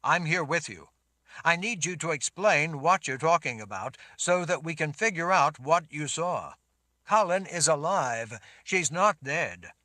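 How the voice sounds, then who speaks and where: A man's voice: fairly high pitch, animated, a little slow, sharp tone, no echo, voice pushed up. A man playing a firm, commanding lord.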